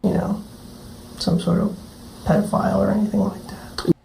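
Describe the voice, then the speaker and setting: A man's voice: high-pitched, level tone, normal speed, gravelly, warm tone, slight background noise, poor audio. A man in his thirties answering questions evasively and defensively, in a clip played on stream. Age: 30s. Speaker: suspect being questioned.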